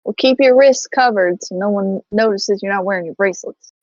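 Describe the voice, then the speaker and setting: A woman's voice: brisk, dry recording. A woman player in a tabletop game, playful and self-mocking, laughing often. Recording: dull low-fidelity sound.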